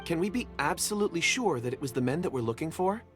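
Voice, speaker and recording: A man's voice: on the high side, expressive, fast talker, over music, close to an everyday voice. Earnest young helper, a man voicing him. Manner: earnest and modest, easily delighted. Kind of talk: video game voice acting.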